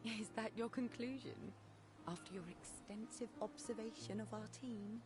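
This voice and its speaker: A woman's voice: on the high side, lightly acted. Woman acting a cool, sardonic female teammate. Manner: deliberate, dryly challenging. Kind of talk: naturalistic video game cutscene acting. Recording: music behind the voice.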